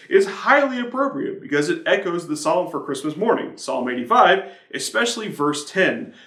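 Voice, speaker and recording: A man with a fairly high voice reads a scripted devotional as its presenter. His delivery swings up and down in a very lively way, and the room around him rings with noticeable echo. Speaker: church media director presenting a devotional. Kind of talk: scripted reading of a hymn history devotional.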